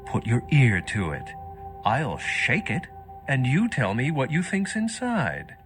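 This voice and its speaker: An actor playing a wry, worldly old vampire scholar in a video game, speaking in an erudite, measured and sardonic way. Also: male voice, medium pitch, wildly swooping pitch, faint music behind, full character voice.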